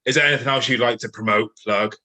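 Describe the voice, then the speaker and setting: A man's voice: mid-range voice, fairly even intonation, quick pace, bright, forceful tone. A man hosting a casual interview podcast, dry and exasperated with his guest. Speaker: podcast host.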